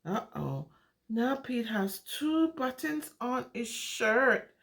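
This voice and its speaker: High-pitched, animated woman reading to children. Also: slow, gravelly. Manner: exclamatory, asks the listeners questions, singsong. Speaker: children's storyteller reading a picture book aloud. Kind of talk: animated interactive read-aloud for young children.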